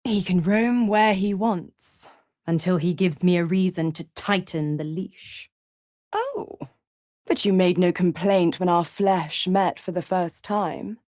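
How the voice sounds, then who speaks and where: A woman's voice: fairly low pitch, lively intonation, takes it slowly, darkish tone, no room sound, clean studio sound. A woman playing a seductive, mocking devil villain in a video game, theatrical and taunting, with a cruel possessive streak. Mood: possessive, menacing. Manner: sultry, taunting, theatrical, drops into whispers. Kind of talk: dramatic video game voice acting.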